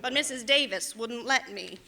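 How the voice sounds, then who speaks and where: A woman playing a wry, exasperated schoolteacher in a 1940s radio comedy, with deadpan wisecracks. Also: on the high side, normal speed, vocal fry. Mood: dryly amused.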